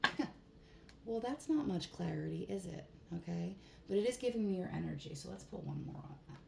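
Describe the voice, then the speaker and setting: A woman with a hoarse voice giving a casual tarot card reading in a relaxed, chatty way, with plenty of fillers. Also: lowish voice, brisk. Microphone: phone. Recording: echoey room, faint background hiss.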